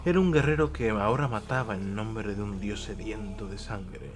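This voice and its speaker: A man's voice: lowish voice, normal speed. A man narrating a scripted war story for an audio drama in a dramatic storytelling style. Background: prominent music.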